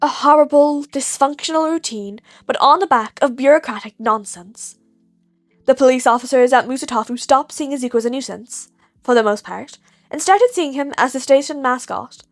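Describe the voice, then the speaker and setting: A woman's voice: sits high, no room sound, clean studio sound. A woman narrator reading a scripted story expressively.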